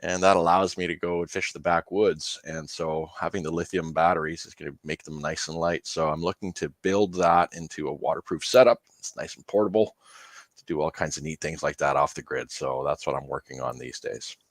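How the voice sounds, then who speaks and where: A man with a low, deep voice talks casually as a podcast panelist. The recording is close and dry, with hardly any room sound. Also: brisk, no echo. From Canada. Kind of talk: casual chat.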